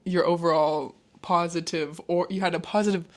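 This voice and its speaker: A woman's voice: on the low side, steady tone, moderate pace. Stuffy-voiced young woman, congested. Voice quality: stuffy, nasal. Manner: rambling with many fillers. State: congested from a cold. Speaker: podcast co-host. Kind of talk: casual chat.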